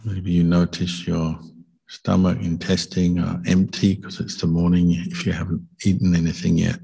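A man leading a guided meditation as a teacher, speaking calmly and gently with deliberate pauses between short phrases. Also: deep voice, vocal fry, husky. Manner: calm, deliberate, gentle instruction with long pauses. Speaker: Buddhist monk meditation teacher.

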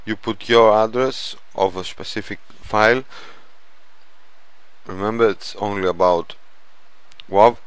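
A man narrating an informal software tutorial in a halting, step-by-step way. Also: on the low side, fairly even intonation, clean tone, no echo. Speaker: programming tutorial presenter.

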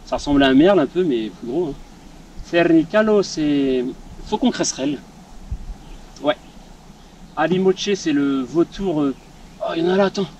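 A man's voice: a little high, expressive, moderate pace, pauses often, darkish tone, dull audio, a little hiss or hum. A French man narrating his outdoor trip on camera, thinking aloud and exclaiming at birds of prey along a mountain trail. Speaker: vlogger. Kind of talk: casual travel vlog commentary. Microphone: action camera or smartphone.

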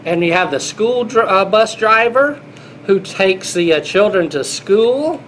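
A man's voice: sits high, expressive, a little slow, a bit bright, a little hiss or hum, low-quality recording. A middle-aged man reading a children's picture book aloud, playful and exclaiming, talking as if to small children. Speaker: grandfather reading to children, air traffic controller. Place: small indoor airport control room.

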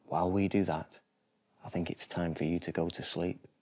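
A British man in his thirties reading a children's bedtime story in a gentle, deliberate, expressive storytelling style. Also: low voice. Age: 30s. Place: TV studio set.

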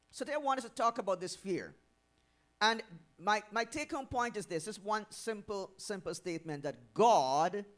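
A middle-aged man delivers a sermon in a church hall, holding a microphone close. His voice sits a little high for a man. He repeats his key words for emphasis and keeps turning to the congregation with questions. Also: a bit bright. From Guyana. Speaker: pastor. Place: church hall with curtained stage. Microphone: handheld microphone.

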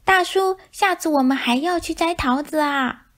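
A woman's voice: sits high, normal speed, a bit bright, voice pushed up. A woman voicing innocent little mouse children in a storybook, eager, friendly and childlike. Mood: sweet, cheerful.

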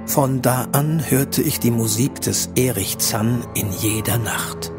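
Polite young student guy, played by a male actor in an audio drama, mid-range voice, music clearly behind him. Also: mid-range voice, close to an everyday voice. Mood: reflective narration. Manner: measured when narrating, hesitant and stammering in dialogue. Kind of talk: audio drama narration and acting.

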